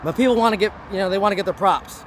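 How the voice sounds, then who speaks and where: A fairly high-voiced man speaks hoarsely, rambling and circling back on himself as he preaches to his camera. Faint traffic hums outdoors behind him. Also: racing. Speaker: vlogger. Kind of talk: casual rambling monologue to camera.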